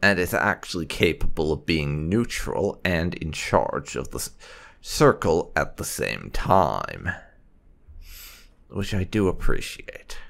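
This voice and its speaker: A man's voice: rumbling low, creaky, a bit bright. A man hosting a let's-play, giving casual, musing commentary as he plays. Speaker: gaming channel host.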